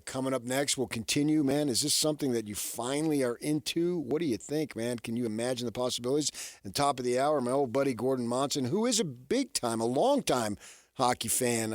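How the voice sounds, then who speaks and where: A man hosts sports talk radio in a close, dry studio sound. His pitch sits in an ordinary middle range. He talks casually and conversationally, with a folksy touch and plenty of rhetorical questions. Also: no echo.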